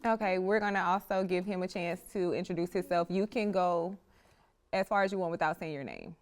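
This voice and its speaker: A woman's voice: on the low side, fairly quick. A woman co-hosting a podcast dating game, explaining rules and questioning the guest in an upbeat, giggly way. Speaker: podcast co-host.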